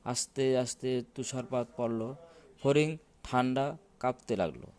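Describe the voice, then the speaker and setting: A man's voice: mid-range voice, measured pace, a little mellow. A man narrating a children's cartoon story as a scripted tale.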